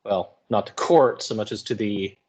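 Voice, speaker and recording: A man with a fairly low voice gives an informal lecture as a high school teacher. He explains things in everyday, colloquial terms, as commentary for his class. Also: normal speed, a little mellow.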